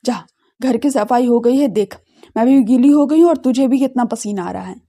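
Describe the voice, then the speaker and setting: A middle-aged mother from a family audio drama, put on by a woman. She fusses in a homely, caring way. Her tone is mellow and fairly high. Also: moderate pace. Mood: caring insistence. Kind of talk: audio drama character dialogue.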